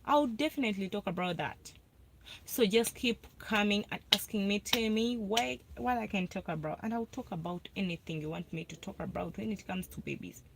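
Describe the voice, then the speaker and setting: A woman's voice: medium pitch, normal speed, creaky, background noise. A young Ugandan woman hosting a casual live video about breastfeeding. She talks to her viewers, answers their comments and repeats herself a lot for emphasis. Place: parked car.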